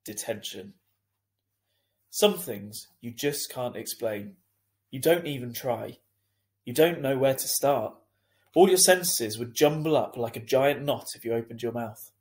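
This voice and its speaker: A man's voice: medium pitch, expressive, measured pace, a little mellow. Male teacher reading a children's novel. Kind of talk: children's audiobook narration.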